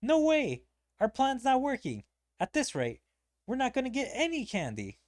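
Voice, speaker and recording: A streamer voicing excitable, childish fantasy game characters by reading their dialogue aloud dramatically. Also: high voice, moderate pace, slight background noise, pitched above the performer's own voice. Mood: dismayed.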